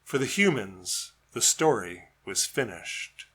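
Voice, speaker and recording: A man reading his own narrative poem as a storyteller, with measured, deliberate phrasing. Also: lowish voice, very animated, fairly bright tone, slightly rough. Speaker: poet reading own work.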